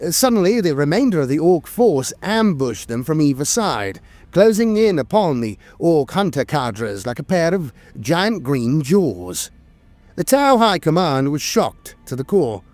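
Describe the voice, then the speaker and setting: A man's voice: a little high, darkish tone, no room sound. A man reading scripted war lore as a narrator, with a theatrical storyteller's delivery. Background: music.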